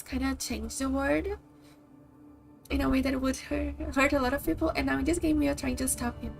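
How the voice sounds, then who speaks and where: A woman's voice: high-pitched, creaky. A woman from Brazil with a Brazilian accent, whose first language is Portuguese, chatting casually and rambling while streaming a game. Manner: chatty, rambling, many likes and kinda. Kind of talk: casual live-stream chat. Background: faint game music.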